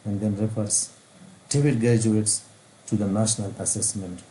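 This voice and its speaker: A middle-aged man with an Afghan accent reads out a formal training lecture in a deliberate, phrase-by-phrase way. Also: fairly low pitch, fairly even intonation, dark tone, poor audio. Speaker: vocational training specialist. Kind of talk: scripted lecture narration over slides. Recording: faint background noise.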